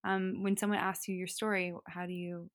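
A woman's voice: fairly low pitch, level tone, moderate pace, no room sound, clean studio sound. A woman hosting an interview podcast, asking long, rambling questions filled with "um". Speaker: podcast host.

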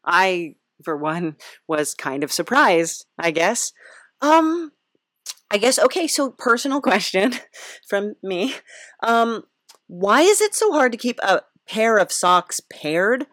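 A woman's voice: mid-range voice, sing-song, slow pace, a bit bright, no echo, studio quality. A woman hosting a podcast interview in a casual, playful, rambling way, often hesitant and giggly.

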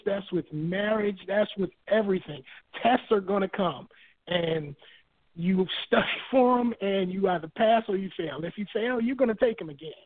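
A high-pitched man from Barbados speaks over a thin, tinny phone line. He talks as a guest in a relaxed, searching way, often repeating a word before the thought comes. He leans on homely school and family examples. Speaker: guest, author and marriage minister. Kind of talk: telling his life story on a call-in radio show.

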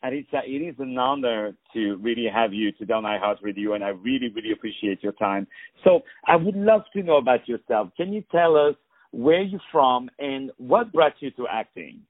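A man's voice: mid-range voice, lively intonation, normal speed, dry recording. Effusive male radio host, dull dry sound. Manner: effusive, repeats words, stammers in long questions. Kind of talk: radio interview.

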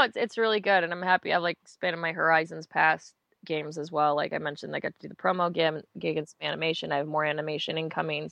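Casual interview guest: a woman with a low-pitched voice, chatty and wry, recorded dry and close. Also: vocal fry, a bit bright, no room sound. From United States. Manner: chatty, self-mocking, quick asides. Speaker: voice actor guest.

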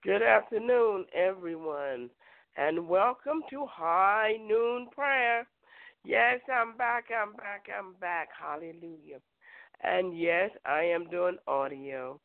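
A middle-aged woman hosting a prayer show, hoarse from a cold, chatting with listeners in a folksy, direct way. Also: mid-range voice, slow. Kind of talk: casual prayer show chat. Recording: tinny phone line, dry.

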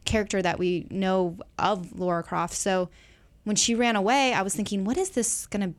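A woman's voice: medium pitch, steady tone, moderate pace. Female podcast guest co-host, mid-range voice, casual and conversational, emphatic when she agrees. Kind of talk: casual film discussion.

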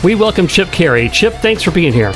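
A man hosting a sports podcast, his voice a little raspy, chatting with frequent pauses and fillers. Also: high voice, very animated, music in the background. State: slightly raspy voice.

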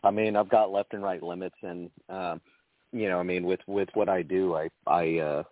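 Deep-voiced man on a phone line. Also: moderate pace, no echo. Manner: relaxed, hesitant, many restarts. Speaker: financial advisor guest. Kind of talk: casual conversation. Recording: dry phone-line sound.

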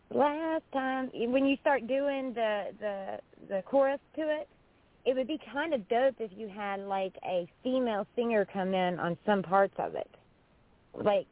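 A woman hosting a podcast, asking rambling, chatty questions full of restarts. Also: a little high. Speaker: podcast host. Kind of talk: casual interview chat. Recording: tinny phone-line sound.

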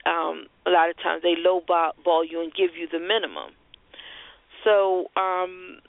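A woman's voice: on the low side, creaky, no room sound. A woman co-hosting a radio show, giving unscripted business advice in a conversational, explanatory way over the phone. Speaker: radio show co-host and marketing strategist. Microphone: telephone. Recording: tinny phone-line call-in audio.

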